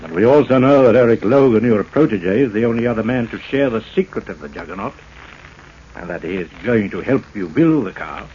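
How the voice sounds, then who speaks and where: A man's voice: lowish voice, expressive, brisk, dark tone, close to an everyday voice. A man playing a cool, pushy business crook in 1940s radio drama, clipped and insistent. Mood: cool, knowing. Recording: dull, noisy old radio broadcast.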